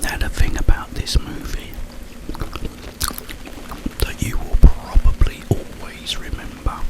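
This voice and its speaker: A man speaking in a breathy whisper, rambling informally through a story in ASMR style. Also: poor audio. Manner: slow, halting, informal storytelling. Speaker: ASMR content creator.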